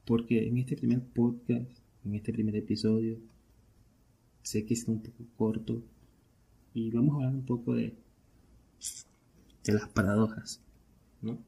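Husky, mellow Venezuelan man podcasting. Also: mid-range voice, level tone, measured pace, long pauses. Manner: conversational, asks and answers own questions. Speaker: podcast host. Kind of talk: casual solo podcast monologue.

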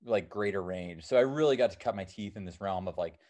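A man's voice: low voice, brisk, warm tone. Casting: American man, 30s, mountaineer guest, loose and rambling, plenty of "um" and "you know". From born in New Zealand, raised in Seattle. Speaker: alpine climber and filmmaker, guest. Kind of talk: casual interview answers.

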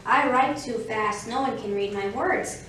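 A woman's voice: a little high, measured pace, fairly bright tone, far from the mic, slight background noise. Woman reading a hasty excuse-making rabbit, echoey. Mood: breezy refusal.

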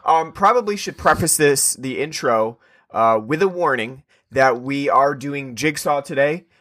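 A man hosts a podcast in loose, casual chat, circling back on himself with plenty of ums and uhs. His voice has a bright, forward edge. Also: medium pitch, a little slow. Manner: rambling, filler-heavy. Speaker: podcast host.

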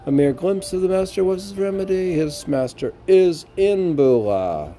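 A man talking casually in a halting, musing, repetitive way and reciting poetry as a podcast host, on an amateur recording. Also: high-pitched, lively intonation, warm tone, faint music behind. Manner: halting, musing, repeating phrases. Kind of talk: rambling casual talk and poem recitation.

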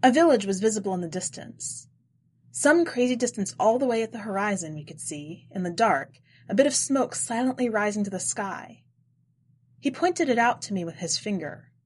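Clear-voiced woman reading literary narration. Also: medium pitch, animated, moderate pace, darkish tone. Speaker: podcast editor and story reader.

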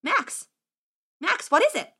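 A woman's voice: very high-pitched, sing-song, takes it slowly, creaky, sharp tone, pitched above the performer's own voice, full character voice. A woman voicing a cheeky, boastful teenage boy wizard in a storybook, grandiose and chatty. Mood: alarmed. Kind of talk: audiobook character acting.

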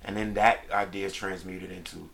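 A man from Brooklyn, a rapper as a guest, talking casually in a laid-back, meandering way with many pauses. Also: deep voice, fairly even intonation, creaky, a bit bright. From Brooklyn, New York. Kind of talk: casual interview chat.

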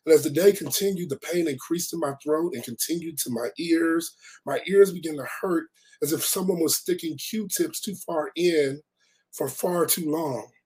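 Man, middle-aged, hoarse, raspy, slightly high voice, emphatic motivational podcast host, repeats phrases. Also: normal speed, darkish tone, rough recording. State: hoarse, recovering from losing his voice. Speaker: podcast host and life coach. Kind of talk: motivational podcast monologue, reading his own blog.

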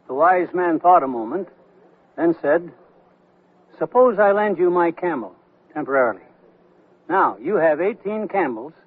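An older man playing a shrewd, feisty retired investor in a radio comedy, with a dry, deliberate delivery. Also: on the high side, slightly rough. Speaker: radio actor. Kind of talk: 1970s radio comedy acting. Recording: thin narrow-band 1979 radio recording.

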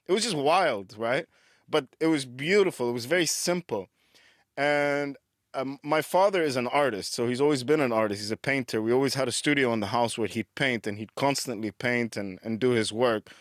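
A man's voice: mid-range voice, dry recording, studio quality. A man in his forties from Dubai, a musician guest telling his story in a loose, rambling way. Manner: loose and rambling, laughs at himself. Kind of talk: casual rambling chat.

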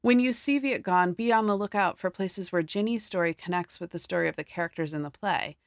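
A woman hosting a theater program, giving a scripted introduction in a clear, measured delivery. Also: on the low side, no room sound, studio quality. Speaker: theater program host and interviewer. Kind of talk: scripted narration and interview questions.

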